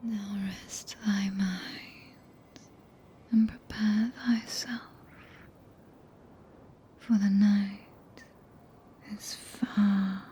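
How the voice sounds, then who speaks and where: A woman with a British accent playing a tender, possessive, regal witch in a soft breathy ASMR whisper, with archaic, stately phrasing. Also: medium pitch, little pitch movement, extremely slow, long pauses, slight background noise. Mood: gentle, intimate. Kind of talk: ASMR roleplay. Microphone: close binaural microphone.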